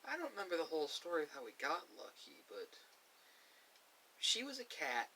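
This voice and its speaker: Bright-voiced man reminiscing haltingly over hiss. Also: on the high side, wildly swooping pitch. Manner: halting, pausing between short phrases. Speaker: ASMR video creator. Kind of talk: reflective personal storytelling. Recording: clear background hiss.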